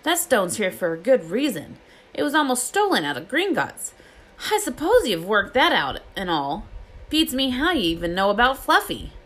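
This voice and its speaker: A woman acting out a big storybook gamekeeper, a proud and friendly man with rustic, blustering talk. The pitch is pushed up from the reader's own and swings about in lively fashion. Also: normal speed. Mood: gruff refusal.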